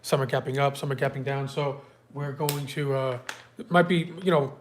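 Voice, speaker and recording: A man's voice: medium pitch, fairly even intonation, moderate pace, a little hiss or hum. Man with a stuffy cold, hosting. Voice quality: slightly congested. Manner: loose, filler-heavy, chats with viewers by name. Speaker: day trading livestream host. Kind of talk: casual live market prep walkthrough.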